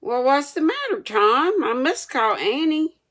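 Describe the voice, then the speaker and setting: A woman's voice: sits high, very animated, very slow, fairly bright tone, voice pushed up, heavily acted. A woman voicing an anxious, pleading young boy in a storybook, urgent. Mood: alarmed, pleading. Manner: urgent, rustic dialect.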